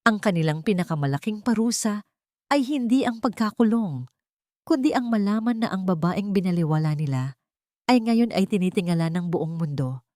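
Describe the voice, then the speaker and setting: A woman narrating a scripted love story in a dramatic, measured and solemn storytelling style. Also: mid-range voice, lively intonation, darkish tone, no room sound, studio quality.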